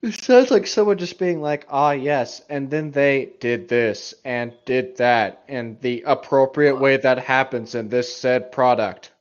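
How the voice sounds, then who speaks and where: A man's voice: medium pitch, a little slow, few pauses, muffled recording. A man co-hosting casual, joking banter, mocking and swearing freely. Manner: profane, mocking. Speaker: co-host reading and mocking internet horror fiction.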